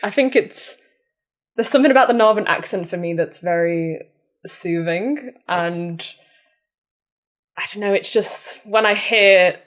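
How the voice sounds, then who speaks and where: A woman from Manchester with a softened Mancunian accent, talking reflectively as a guest in a conversational interview. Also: medium pitch, measured pace, long pauses. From Manchester, England. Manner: reflective, soft-spoken, frequent "mm" and "yeah". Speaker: podcast guest.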